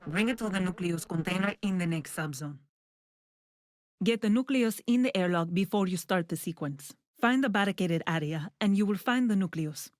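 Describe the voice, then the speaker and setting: A woman playing a composed female scientist who guides a mission in a video game, giving measured, businesslike instructions. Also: fairly low pitch, no echo, studio quality, close to an everyday voice. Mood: focused, instructive. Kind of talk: scripted video game voice lines. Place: dry studio.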